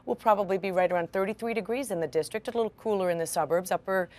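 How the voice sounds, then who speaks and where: A woman's voice: medium pitch, very fast, darkish tone. A woman with an American accent giving a conversational weather forecast on television.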